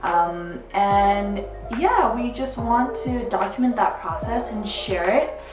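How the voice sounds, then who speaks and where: A woman in her twenties, speaking in a measured, slightly formal way as co-host in a living room. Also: mid-range voice, animated. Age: 20s. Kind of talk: casual chat. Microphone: camera across the room. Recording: roomy echo, mic far off, music behind.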